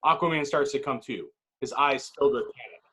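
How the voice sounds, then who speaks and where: A man's voice: mid-range voice, a little fast, pushed and bright, husky. A man reading stage directions as narrator at a table read, in dramatic, clipped phrases.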